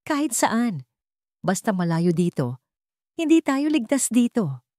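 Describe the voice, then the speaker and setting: A woman's voice: on the high side, sing-song, a little slow, warm tone, dry recording, voice pushed up. Woman playing bitter mother, defiant, accusing, emphatic, radio drama, dry close sound. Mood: panicked.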